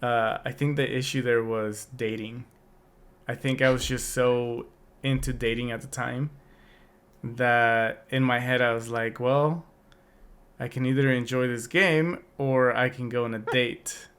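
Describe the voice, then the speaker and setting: A man's voice: medium pitch, steady tone, a little slow, fairly bright tone, clear, resonant tone. A young adult man co-hosting a podcast, talking in a casual, reflective way with many restarts. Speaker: podcast co-host. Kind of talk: casual chat.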